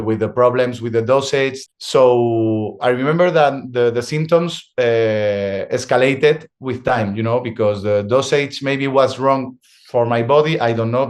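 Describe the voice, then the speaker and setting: A young man with a Spanish accent, speaking English that is not his first language in a mid-pitched voice. He talks haltingly, restarting phrases and pausing to search for words as he tells his story in an interview. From Spain. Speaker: guest. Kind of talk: conversational interview.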